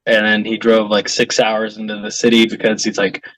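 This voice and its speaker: A man's voice: fairly low pitch, monotone, moderate pace, a bit bright. A man from a punk band tells a tour story in an easygoing way, with lots of filler words. His voice is close and dry, with no room echo. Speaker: band member guest. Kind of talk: casual interview chat.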